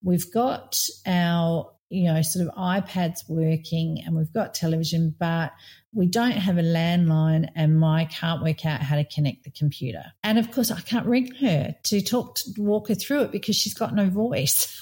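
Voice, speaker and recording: A woman's voice: on the low side, moderate pace, warm tone. A woman in her forties with an Australian accent, co-hosting a casual chat and telling personal stories with many asides. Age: 40s. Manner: chatty, storytelling, frequent "you know" and restarts. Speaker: podcast co-host.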